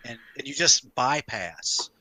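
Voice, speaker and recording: A man who is high, in an emphatic, sweary, casual discussion of tabletop gaming as a game master. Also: lively intonation, takes it slowly, sharp tone, dry recording, rough recording. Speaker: podcast panelist and game master.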